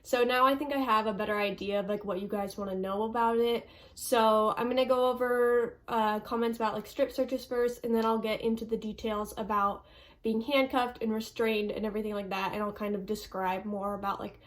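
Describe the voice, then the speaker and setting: A woman's voice: fairly high pitch, fairly even intonation, brisk. A young woman vlogger with a stuffy nose, answering viewer questions in a chatty way, full of ums and likes. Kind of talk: casual Q&A vlog.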